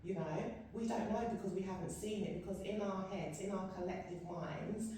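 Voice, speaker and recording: A woman in a casual discussion, earnestly building a steady argument. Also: medium pitch, moderate pace, distant mic. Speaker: discussion participant. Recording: echoey room, off-mic, faint noise.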